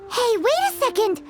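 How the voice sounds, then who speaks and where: A woman voicing a small chatty fairy companion who calls herself by name, in a video game with loud music behind. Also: extremely high pitch, full character voice. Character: tiny chirpy floating fairy companion, girl.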